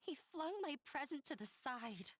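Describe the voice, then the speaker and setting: A woman's voice: high voice, animated, a little slow, no room sound, clean studio sound. Hinaya, a weary young woman. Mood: resigned. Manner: quiet, resigned, soft-spoken. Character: troubled young woman, weary, self-blaming. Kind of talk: video game voice acting.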